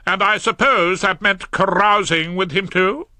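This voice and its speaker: A man's voice: high voice, unhurried, bright, forceful tone, no room sound, voice pushed up, heavily acted. A man reading an audiobook, voicing a stern, domineering middle-aged wife who speaks in a clipped, reproachful way. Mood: indignant. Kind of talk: audiobook character voice.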